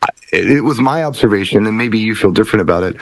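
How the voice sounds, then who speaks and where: A man's voice: on the low side, lively intonation, fast talker, no echo, low-quality recording. A man hosting a casual panel chat, conversational and often circling back to rephrase his points. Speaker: talk show host, DJ.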